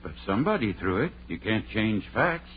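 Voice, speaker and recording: An actor playing a stern, skeptical older country doctor in an old radio play, folksy and insistent. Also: male voice, lowish voice, very animated, moderate pace. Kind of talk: 1940s radio horror acting. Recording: tinny old radio recording with hum.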